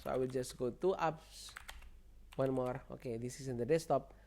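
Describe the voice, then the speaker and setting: A man who speaks English as a second language presents an informal software walkthrough, repeating himself and often checking in with the listener. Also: mid-range voice, fairly even intonation, moderate pace, gravelly. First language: not English. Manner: repetitive, seeks agreement with "okay" and "right". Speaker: tech tutorial presenter.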